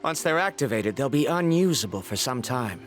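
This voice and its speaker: A man's voice: mid-range voice, animated, normal speed, close to an everyday voice. A man voicing a learned, stubborn male scholar in a video game, who explains in a formal, reasoned way. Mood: reasoning. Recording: game audio with faint music.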